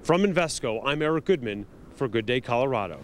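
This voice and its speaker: A man's voice: medium pitch, lively intonation, brisk, slight background noise. A man with an American accent giving a scripted sports report.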